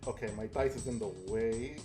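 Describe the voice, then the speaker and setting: A man's voice: medium pitch, fairly even intonation, a little fast, over music. A man playing a tabletop game, chatting casually and thinking aloud in a playful, rambling way.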